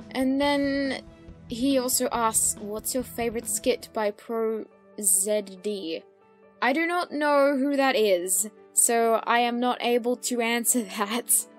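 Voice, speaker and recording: Female YouTuber, high voice, casual Q&A delivery, expressive and playfully exasperated, music underneath. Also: slow pace. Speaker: YouTuber answering viewer questions. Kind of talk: casual solo Q&A chat. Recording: background music behind the voice.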